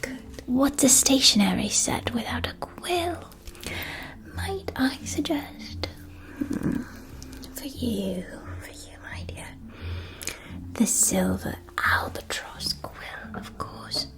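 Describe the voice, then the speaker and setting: Whispering, unhurried witchy shopkeeper played by a woman. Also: on the high side, low-quality recording. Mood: persuasive, then doting, enchanted. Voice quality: soft breathy whisper. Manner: slow, gushing, doting sales patter. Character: female magical stationery shopkeeper, doting, persuasive, theatrically enthusiastic. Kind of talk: whispered ASMR shop roleplay.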